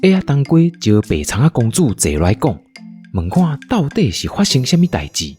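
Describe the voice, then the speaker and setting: A woman's voice: extremely low pitch, sing-song, moderate pace, mellow, faint music behind. A woman with a Taiwanese accent hosting and narrating a playful scripted story. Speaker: podcast host and storyteller.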